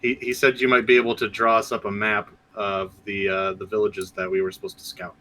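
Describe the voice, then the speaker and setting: A man's voice: lowish voice, flat delivery, a little fast, pushed and bright, slight background noise. A man playing a tabletop game, speaking politely and hesitantly with frequent stammers. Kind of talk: casual in-character play.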